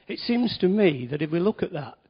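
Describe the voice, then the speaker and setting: Husky-voiced man preaching, dull lo-fi sound. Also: high voice, very animated, a little fast. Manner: chatty, wry asides, emphatic stresses. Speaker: lay preacher. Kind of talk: conversational sermon. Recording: dull lo-fi recording.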